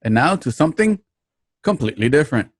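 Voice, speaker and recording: A man's voice: fairly high pitch, sing-song, fast talker, faint music behind. A man reading a scripted sponsor promotion as an announcer.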